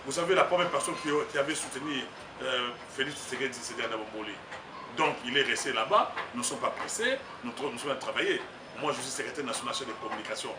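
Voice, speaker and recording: Man, Congolese French accent, rapid speech, emphatic, rambling, roomy sound. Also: medium pitch, lively intonation. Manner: emphatic, rambling, mixes in Lingala. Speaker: political candidate, party communications secretary. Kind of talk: street interview. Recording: roomy, distant mic, noisy background.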